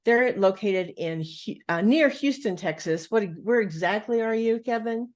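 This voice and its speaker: A woman's voice: mid-range voice, lively intonation, measured pace. A woman hosts a podcast interview in a mid-range voice. She talks in a chatty, informal way, leaning on fillers and restarts as she introduces her guest. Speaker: podcast host. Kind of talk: casual interview hosting.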